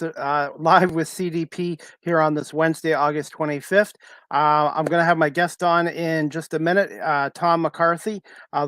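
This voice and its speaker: A man's voice: fairly high pitch, fairly bright tone, dry recording, studio quality. A man in his fifties hosting a casual sports interview, talking like an eager, appreciative fan. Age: 50s. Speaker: sports podcast host.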